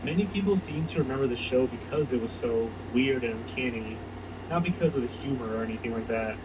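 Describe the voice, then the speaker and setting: A man's voice: mid-range voice, expressive, fairly quick. A man reading scripted narration for a countdown video, heard as played back. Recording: distant, noisy playback of a video.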